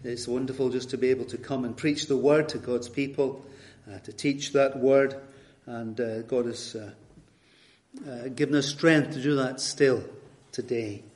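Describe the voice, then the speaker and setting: A retired Scottish pastor in his later years, guest preaching, reading scripture and leading prayer in a measured, earnest way. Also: mid-range voice, steady tone, darkish tone. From Scotland. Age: older.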